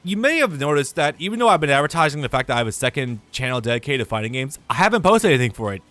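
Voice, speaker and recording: A young man with a tired, raspy voice, talking casually and rambling to his gaming channel's viewers, with lots of fillers and some swearing. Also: mid-range voice, sing-song, racing, a bit bright. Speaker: gaming YouTuber. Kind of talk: casual year-review vlog.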